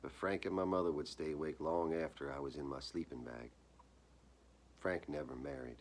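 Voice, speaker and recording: A man with an exceptionally deep voice reads his fiction aloud. The tone is husky and rough-edged, and he phrases things deliberately, like an author presenting his own work. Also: fairly even intonation. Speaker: author reading own short story. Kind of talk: dramatic live reading of literary horror fiction.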